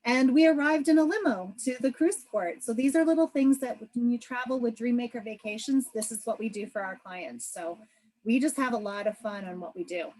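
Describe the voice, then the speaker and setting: A woman's voice: fairly high pitch, fairly quick, a little mellow, poor audio. A woman travel agent from Canada hosting a video call presentation, upbeat and chatty. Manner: upbeat, chatty, many fillers.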